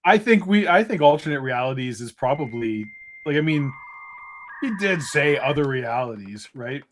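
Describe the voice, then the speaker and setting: A man's voice: medium pitch, lively intonation, moderate pace, a little hiss or hum. A man co-hosting a casual livestream chat, joking and laughing often. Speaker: co-host.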